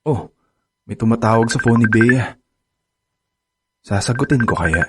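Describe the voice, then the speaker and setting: A man's voice: medium pitch, unhurried, background noise, close to an everyday voice. A man playing a flustered, pleading young boyfriend. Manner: pleading, flustered, stammers when caught. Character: young man, lovestruck boyfriend growing suspicious. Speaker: lead character, devoted boyfriend. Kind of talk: Filipino radio drama acting.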